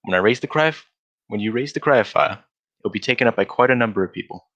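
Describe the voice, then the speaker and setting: Man acting a deep, bright-voiced commanding gentleman detective. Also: expressive, fast, lo-fi sound. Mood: brisk, commanding. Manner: precise, formal, authoritative diction. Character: brisk, commanding, self-assured English gentleman detective in planning. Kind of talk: 1940s radio drama acting.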